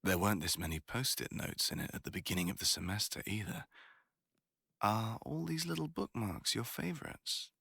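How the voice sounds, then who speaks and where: A man acts out a young college crush with a very low, bright voice that is a little rough at the edges. He talks in a casual, slangy, sweary way, teasing the listener and griping about poetry like a bored student in a boyfriend roleplay. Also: moderate pace, dry recording, exaggerated voice. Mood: playful teasing. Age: young adult.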